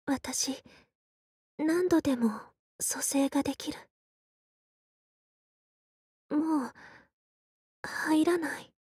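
An actress playing a quiet, reserved young female soldier in a game, soft-spoken and deadpan, speaking in short halting phrases. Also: high-pitched, no echo, studio quality. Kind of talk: Japanese game character voice lines.

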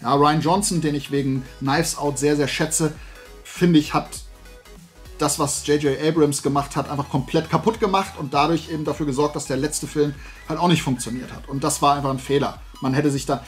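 A man in his forties with a fairly high-pitched voice talks as the host of a casual show. He gives his opinions in a colloquial, animated rant. Music plays behind him as he speaks from a desk indoors. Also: brisk. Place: small room at home, indoors, at a desk.

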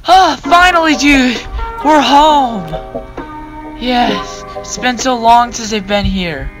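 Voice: medium pitch, takes it slowly. A young-sounding voice acting out informal, repetitive, exclamatory dialogue for a homemade game roleplay. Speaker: voice actor in a self-made Minecraft roleplay. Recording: music behind the voice.

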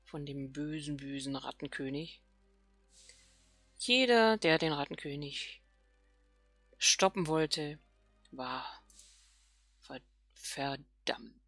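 A woman's voice: sits low, lively intonation, slow, long pauses, fairly bright tone, slightly rough, dry recording, faint music behind. A woman hosting a let's play, thinking aloud casually and murmuring questions to herself as she plays.

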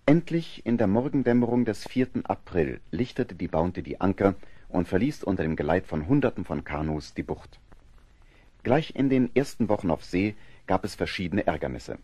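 A man's voice: low-pitched, expressive, normal speed, dark tone, slightly rough, dry recording. A man narrating a scripted historical adventure audio drama.

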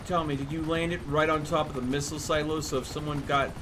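A man in his thirties chats while streaming a game. His voice sits a bit high and his delivery is sarcastic and long-winded. Vehicle noise from the game runs clearly behind him. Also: level tone, fast talker. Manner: exasperated, sarcastic, wordy. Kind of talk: casual chat while gaming with friends. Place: indoor room at home. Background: video game vehicle and ambience noise.

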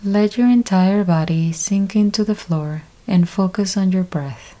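Slow, mellow-voiced woman guiding meditation. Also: medium pitch, low-quality recording. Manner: slow, calm step-by-step instructions with long pauses. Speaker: yoga nidra teacher. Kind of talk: guided meditation.

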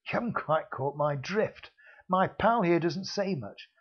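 Man doing a menacing heavy, sneering, mock-polite, loud, lo-fi sound. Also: on the high side, animated, measured pace, slightly rough, pitched above the performer's own voice. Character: menacing male secret-service heavy, sly and bullying. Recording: dull lo-fi recording.

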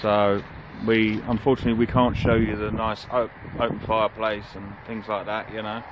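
Male vlogger, thirties, casual delivery, recorded on handheld camera outdoors with clear street noise. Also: lowish voice, level tone, normal speed, dull audio. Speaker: vlog host. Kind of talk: casual vlog chat. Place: outdoors by a roadside pub. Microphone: handheld camera at arm's length.

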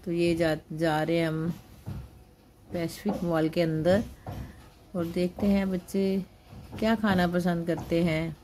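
A woman's voice: on the low side, little pitch movement, unhurried, long pauses, a little mellow, a little hiss or hum. An older woman in a busy indoor mall, narrating a family outing in a homely way into her handheld phone. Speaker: vlogger, grandmother. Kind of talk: casual vlog narration. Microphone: handheld phone camera.

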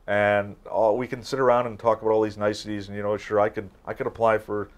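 An older man in his sixties with a fairly low voice, talking as an expert in an informal interview. He explains in a halting way, restarting and repeating words as he builds each point. He sounds like he is seated in a small office. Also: little pitch movement. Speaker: academic expert, interview guest.

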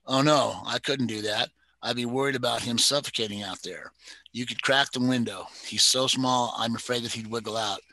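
A man's voice: mid-range voice, normal speed, bright, forceful tone, no echo, poor audio. A man reading the part of a fretful young woman teacher who dotes on her little dog, in a pleading, fussing manner.

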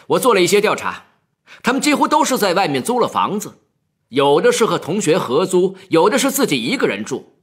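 A man's voice: high-pitched, a bit bright, husky, voice pushed up, heavily acted. A man playing an officious, indignant assistant department head in an audio story, speaking in a stern, righteous, pointed manner.

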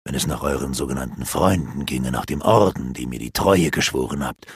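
Margok, a man doing a sneering dark elf. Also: a little fast, slightly rough, no room sound, exaggerated voice. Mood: sneering, persuasive. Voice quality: low, hushed and sneering. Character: gloating sinister male dark elf sorcerer.

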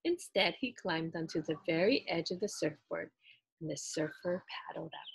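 A woman in her thirties reading a children's story aloud in an expressive, deliberate storytelling style. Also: deep voice, creaky, breathy edge, no room sound, low-quality recording. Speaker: children's book reader. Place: small indoor room lined with books.

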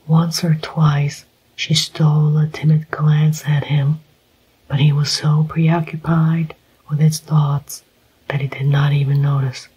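A reader narrating a classic novel in a soft whisper, slow and even. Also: mid-range voice, slightly rough, poor audio. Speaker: audiobook reader. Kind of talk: whispered ASMR story reading.

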